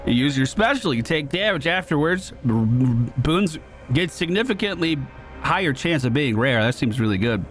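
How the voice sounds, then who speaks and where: A man giving casual gameplay commentary in an excitable way, given to swearing when thrilled. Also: on the high side, normal speed, rough recording. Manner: excitable, profane exclamations. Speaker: gaming video host. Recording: game music behind voice. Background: video game music.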